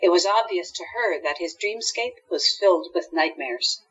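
A husky woman narrates a radio play from a script. Her voice sits a little low, and the recording is slightly muffled. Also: moderate pace, a bit bright. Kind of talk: scripted audio drama narration.

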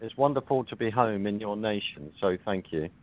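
A man with a London accent talking as a guest on a radio show, courteous and halting, with many hesitations. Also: fairly low pitch, animated. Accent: London English. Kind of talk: phone-in interview. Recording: tinny phone line.

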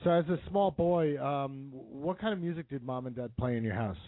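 A man hosting a radio music show and interviewing a guest, relaxed with occasional fillers. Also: mid-range voice, fairly quick, dry recording. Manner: relaxed, friendly host patter with fillers. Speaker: radio host. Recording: narrow lo-fi broadcast sound.

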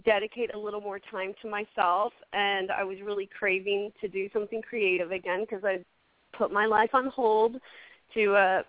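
A woman's voice: medium pitch, no echo. This is a woman in her thirties, an author, chatting loosely as a radio interview guest and laughing easily. She sounds tinny and dry, as though she is heard over a phone. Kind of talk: casual interview chat.

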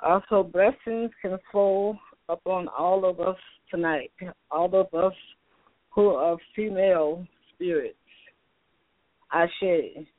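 A woman's voice: lowish voice, dry recording. Older woman praying over a tinny phone line. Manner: slow, solemn, incantatory. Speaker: elder leading an opening prayer. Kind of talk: spoken prayer.

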